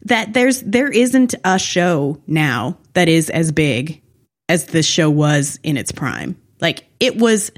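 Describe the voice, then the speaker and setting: A woman's voice: low voice, big pitch swings, takes it slowly. A woman co-hosting a casual recap discussion, talking in an opinionated, freely sweary way. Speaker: podcast co-host.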